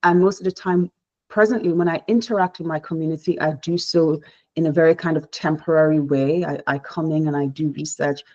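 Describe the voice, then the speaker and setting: A woman from Nigeria whose first language is Igbo, giving a measured, reflective talk on a panel, often restarting her sentences. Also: sits low, fairly even intonation, a little mellow, highs cut off. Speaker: panel speaker, researcher.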